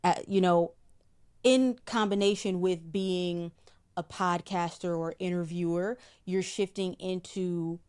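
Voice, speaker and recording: A middle-aged woman with a somewhat low voice talks through spiritual ideas in an interview. She speaks in a measured, explanatory way and often repeats a word as she searches for the next one. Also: fairly even intonation, darkish tone, smooth. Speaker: psychic guest.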